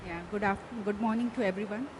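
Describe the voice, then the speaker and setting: A woman with an Indian English accent giving halting panel remarks with frequent fillers. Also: mid-range voice, fairly even intonation. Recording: noticeable background noise.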